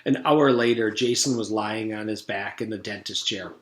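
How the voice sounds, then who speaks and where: A man's voice: fairly low pitch, normal speed. A man in his forties, a teacher, reading a children's story aloud with casual recaps. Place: room at home. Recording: roomy echo, background noise.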